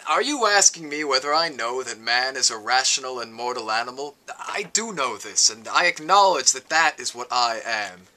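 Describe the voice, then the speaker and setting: A man's voice: mid-range voice, animated, normal speed, few pauses, pushed and bright, low-quality recording. A man playing a grieving, bewildered exiled philosopher, speaking formally and earnestly in a dramatic reading. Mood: earnest, sure. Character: grieving, bewildered male prisoner-philosopher, narrating his visitation.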